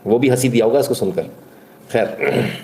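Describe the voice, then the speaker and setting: Male commentator, mellow but emphatic. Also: medium pitch, normal speed, creaky, a little hiss or hum. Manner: emphatic, rhetorical questions, colloquial, mixes English into Hindi. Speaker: live commentary show host. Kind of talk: opinionated news commentary to a live audience.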